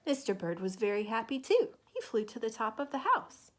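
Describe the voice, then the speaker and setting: A woman reading a children's picture book aloud in an expressive, deliberate way, with asides to young listeners. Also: fairly high pitch. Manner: animated, deliberate, asks the listener questions. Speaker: children's story reader.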